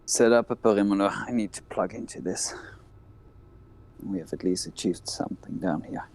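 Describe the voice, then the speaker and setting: A man with a low, mellow voice plays a tabletop role-playing game. He slips into clipped, military-style lines in character. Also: normal speed. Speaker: player.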